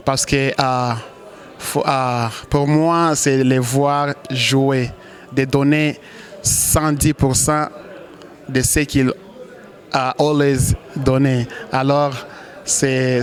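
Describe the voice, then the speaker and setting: A man from Congo speaks as a football manager in an interview. He talks slowly in a flat, even voice, stopping often to restart his sentences. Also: medium pitch. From Congo (DRC). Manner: halting, many restarts and hesitations. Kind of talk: interview answers.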